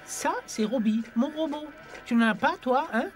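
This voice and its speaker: A woman's voice: high-pitched, normal speed, natural voice. A woman playing a curious, forthright young girl from an underwater kingdom in a dubbed cartoon. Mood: curious, proud. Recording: music bed under the dialogue.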